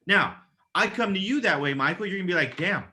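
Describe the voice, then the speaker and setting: Bright, forceful higher-pitched man coaching. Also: lively intonation, brisk. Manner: emphatic, casual, mildly profane, checks in with "okay?". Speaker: real estate agent giving advice. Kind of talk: conversational coaching with acted-out example phone calls.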